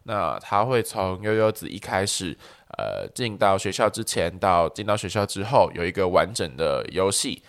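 Low-voiced Taiwanese male podcast host. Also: animated, normal speed. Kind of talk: casual explanatory podcast narration.

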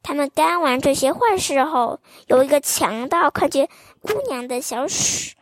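A young woman reading a fairy tale aloud to children in a gentle, sing-song, child-directed manner. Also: high voice, normal speed, no echo. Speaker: children's storyteller host.

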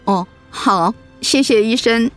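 A woman's voice: on the high side, slow, soft background music. An actress playing a frightened, anxious middle-aged mother who is ill, in a radio drama. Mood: distressed, pleading. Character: frightened, anxious mother facing cancer treatment.

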